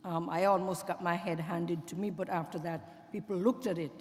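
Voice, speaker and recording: A woman commissioner speaking at a public hearing in a conversational, slightly halting way. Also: on the low side, steady tone. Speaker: commissioner, former city council member. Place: public hearing in a school building. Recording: long reverb of a hearing hall.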